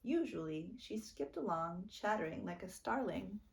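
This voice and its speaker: A woman reading a children's book aloud as a storytime narrator, expressive and deliberate. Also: medium pitch, gravelly, slight background noise. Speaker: storytime reader.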